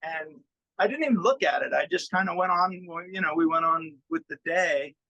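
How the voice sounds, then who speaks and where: A man with a bright, fairly high voice chats informally as a musician being interviewed. He is self-effacing and easygoing in his wording, and the sound is close and dry. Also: normal speed, vocal fry, no room sound, muffled recording. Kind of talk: casual interview chat.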